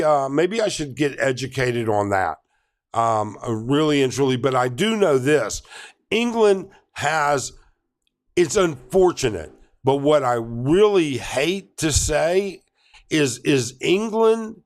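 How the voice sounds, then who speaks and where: Slow, halting male livestream host. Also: on the high side, big pitch swings. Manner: halting, many ums and restarts, reads chat aloud. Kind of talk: casual live Q&A reading chat.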